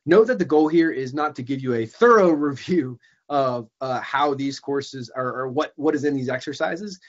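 A young man presenting a software training webinar over a video call, explaining in a casual way with many ums and uhs, and a bit hoarse from losing his voice. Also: medium pitch, moderate pace, muffled recording. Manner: casual, filler-heavy explaining. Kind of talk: screen-share software demo. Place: small room indoors. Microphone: video call webcam.

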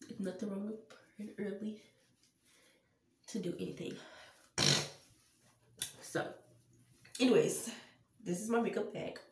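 A woman's voice: mid-range voice, noisy background. A young woman vlogging casually to her viewers with a stuffy, congested nose, chatting as she gets ready. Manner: chatty, addresses viewers as y'all. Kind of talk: casual Halloween makeup vlog. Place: small tiled bathroom. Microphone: camera on a stand a few feet away. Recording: roomy bathroom echo, distant camera mic.

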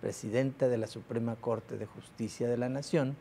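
A man's voice: medium pitch, fairly even intonation, normal speed, vocal fry, dark tone, a little hiss or hum. A Mexican man commenting on the news in a panel, conversational, with frequent hesitant fillers.

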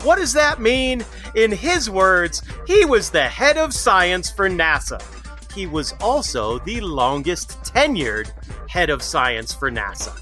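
Man, podcast co-host, high bright voice, excitable, faint music behind. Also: slow pace. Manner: excitable, upbeat.

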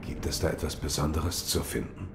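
Loyal shinobi warrior, extremely deep, creaky fry, grim, terse, audio drama. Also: fairly even intonation, brisk, heavy background noise. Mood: solemn, dutiful. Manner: terse, solemn.

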